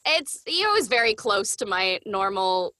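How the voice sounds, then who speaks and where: A woman's voice: mid-range voice, very animated, unhurried, sharp tone. A woman talking casually as an interview guest, articulate and upbeat, like a working voice actress.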